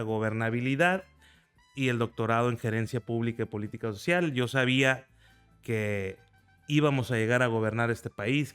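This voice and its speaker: Guy, early 40s, smooth clear voice, faint music behind. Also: medium pitch, a bit bright. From Mexico. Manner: earnest, recounts with pride. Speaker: guest, federal deputy. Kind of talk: conversational interview.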